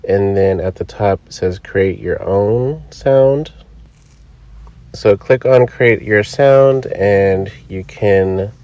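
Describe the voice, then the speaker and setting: A man hosting a Halloween channel, casually explaining a product setup step by step in a relaxed voice-over. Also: on the low side, dry recording, lo-fi sound. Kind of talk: casual product review and demo. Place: indoors, voice-over recorded apart from the footage.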